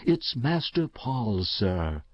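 A man's voice: medium pitch, very animated, normal speed, dry recording, lo-fi sound, pitched above the performer's own voice. A man voicing a loyal, respectful working servant in a storybook reading, chatty, confiding and reverent. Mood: secretive, reverent.

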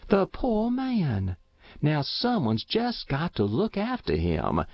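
A man's voice: on the high side, wildly swooping pitch, slow pace, creaky, dry recording, voice pushed up, heavily acted. A man voicing a stout, kindly old countrywoman who fusses over a guest, with a twangy rural way of talking. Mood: fussing. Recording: dull muffled dry recording.

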